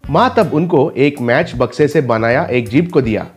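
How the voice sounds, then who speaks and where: A man's voice: medium pitch, expressive, moderate pace. Man narrating a children's story over music. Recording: light music bed under voice.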